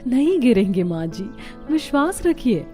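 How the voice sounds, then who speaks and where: Young cartoon daughter-in-law, fairly high, soft warm tone, earnest, resourceful, respectful. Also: female voice, big pitch swings, a little slow, faint music behind. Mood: reassuring. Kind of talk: Hindi cartoon story voice acting.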